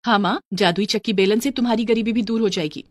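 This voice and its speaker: A woman's voice: medium pitch, quick pace, slight background noise, natural voice. Woman playing a meek, earnest young daughter-in-law. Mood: happy, hopeful. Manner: pleading, earnest.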